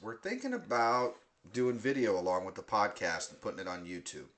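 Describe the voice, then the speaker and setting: A man's voice: lowish voice, animated, brisk, crowd noise. A young man hosting a casual podcast, rambling with lots of fillers and frequent laughs. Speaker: podcast host.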